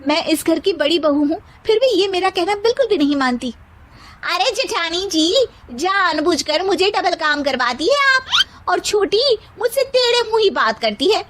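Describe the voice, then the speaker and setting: Woman playing a shrill, whiny young daughter-in-law. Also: animated, normal speed, a bit bright, slight background noise. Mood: indignant.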